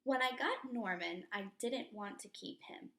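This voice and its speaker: A woman teacher reading a children's picture book aloud expressively and deliberately, pausing to explain the pictures. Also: fairly high pitch, a bit bright.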